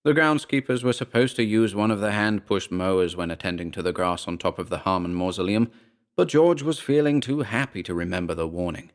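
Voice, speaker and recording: A man narrating a scripted horror story in a measured, dramatic storytelling manner. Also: fairly low pitch.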